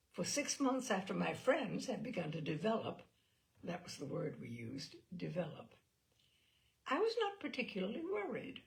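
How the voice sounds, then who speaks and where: A woman reads a comic personal essay with theatrical flair. Her medium-pitched voice moves widely up and down and often drops into a creaky fry. Her phrasing is expressive and emphatic, shaped like a performance for listeners. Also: poor audio. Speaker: reader of literary selections.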